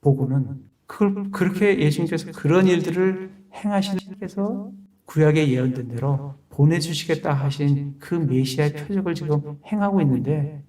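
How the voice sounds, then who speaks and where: Korean pastor preaching from a distance. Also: male voice, a little high, moderate pace, dark tone. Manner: explains verse by verse, asks rhetorical questions. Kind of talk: sermon.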